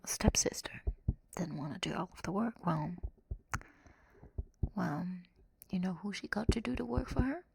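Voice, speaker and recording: A woman in a whispered ASMR roleplay, telling a bedtime story in a soft, breathy, rambling, casual way as a quirky girl. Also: mid-range voice. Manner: rambling, improvised teen-slang delivery. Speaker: ASMR roleplay performer and streamer.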